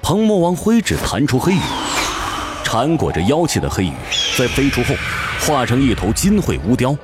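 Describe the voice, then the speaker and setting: A man's voice: mid-range voice, very animated, moderate pace. A man narrating a fantasy audio drama from a script, with intense, theatrical storytelling. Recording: music behind the voice.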